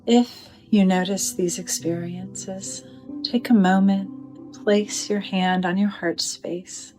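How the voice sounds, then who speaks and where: A woman leading a guided meditation in a gentle, soothing manner, with deliberate phrasing and pauses. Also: mid-range voice, steady tone, faint music behind, low-quality recording.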